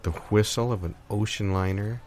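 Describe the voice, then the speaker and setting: A man's voice: fairly low pitch, fairly even intonation, moderate pace, a little mellow, a little hiss or hum. A man improvising comic dialogue in a dry, understated, hesitant way. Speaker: improv comedy performer.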